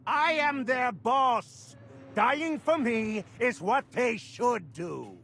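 Casting: a ruthless older boss villain, very high forceful voice, wildly swinging melodrama, game audio over noisy ambience. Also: male voice, lively intonation, extremely slow, creaky, exaggerated voice. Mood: defiant fury. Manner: domineering, melodramatic. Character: ruthless, self-important older male crime boss, villain. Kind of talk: theatrical video game villain acting.

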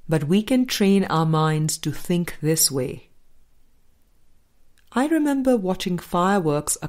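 A woman narrating an audiobook, reading from a script in a measured manner. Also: lowish voice, darkish tone.

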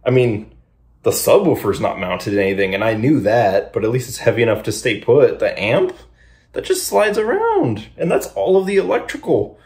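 A man's voice: mid-range voice, big pitch swings, normal speed, a little mellow, husky. A young man narrating a DIY car vlog casually and informally, thinking aloud with mild exasperation. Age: young adult.

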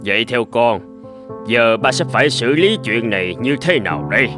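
A man acts out a middle-aged father in a moral cartoon. He is stern yet fair, measured and earnest, with a wide-moving, mid-pitched voice over faint music. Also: expressive, natural voice. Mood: stern, disappointed. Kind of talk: animated moral tale dubbing. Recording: music behind the voice.

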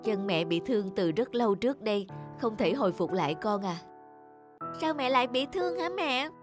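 A woman voicing a weary, sad young woman in a wheelchair in an animated cartoon. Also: fairly high pitch, normal speed, over music, close to an everyday voice. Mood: tender. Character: young woman in a wheelchair, bitter then tender.

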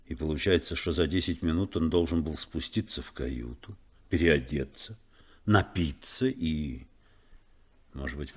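An actor playing a principled first mate in a 1970s Soviet radio play, speaking in a measured, probing manner. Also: male voice, very deep voice, slightly rough, full character voice. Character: principled ship's first mate, calm, probing male officer.

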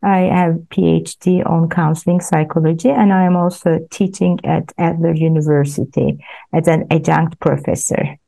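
A woman's voice: low-pitched, fairly even intonation, moderate pace, creaky, dark tone. A woman in her forties from Turkey, a guest on a video call, introducing herself in a friendly, slightly hesitant way. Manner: friendly, hesitant phrasing with fillers. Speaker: guest, clinical counselor. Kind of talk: casual video call chat.

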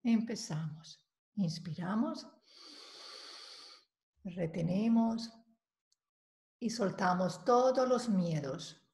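A woman leading a guided meditation, giving calm, measured instructions with long pauses between phrases. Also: medium pitch. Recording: roomy, echoing voice recording.

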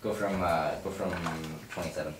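A man's voice: low voice, fairly even intonation, a little fast. A young man talking casually while organizing a table read. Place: home dining room, around a table. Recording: roomy, distant mic, clear noise.

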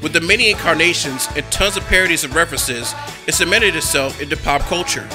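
A man's voice: fairly high pitch, fairly quick, music in the background. A man narrating a cartoon history documentary in a scripted, enthusiastic fan's manner. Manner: enthusiastic, fan-like.

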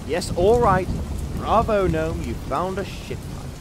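A man's voice: high voice, animated, takes it slowly. A man playing an exasperated, squeamish swordsman with a dry, sarcastic, fussy manner in a comedy fantasy cartoon. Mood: sardonic disgust. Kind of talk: comedic animated fantasy voice acting. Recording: echoey sewer-like reverb with noise.

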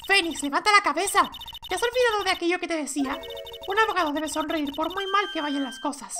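A woman's voice: extremely high pitch, fast talker, over music. A woman voicing a confident, encouraging female lawyer mentor in a courtroom video game.

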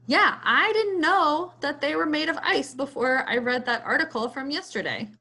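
A woman's voice: high-pitched, expressive, moderate pace, pushed and bright. An American woman chatting casually for children as a read-aloud co-host on a video call.

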